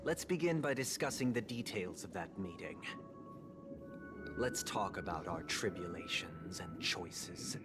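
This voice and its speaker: An actor playing a solemn, idealistic leader in a video game, formal, courteous and ominously measured. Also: male voice, deep voice, expressive, over music. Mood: composed, resolute. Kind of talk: dramatic video game voice acting.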